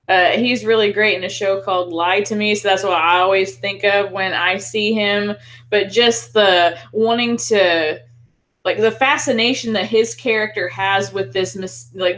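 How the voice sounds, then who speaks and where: A woman's voice: medium pitch, a bit bright. A woman co-hosting a casual film discussion, talking in an enthusiastic, chatty, informal way. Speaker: podcast co-host.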